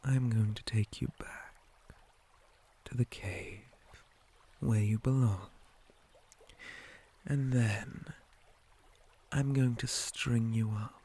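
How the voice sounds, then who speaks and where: A man voicing a possessive, menacing spider monster captor in an ASMR roleplay, mostly in a breathy whisper with deliberate, theatrical menace. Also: medium pitch, fairly even intonation, warm tone. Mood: controlling, haughty.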